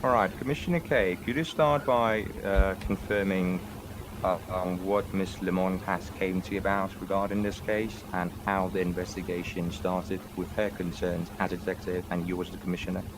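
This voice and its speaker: A man's voice: low voice, fairly even intonation, normal speed, noisy background. A man acting as a defense lawyer in a roleplay court, formal but hesitant with fillers.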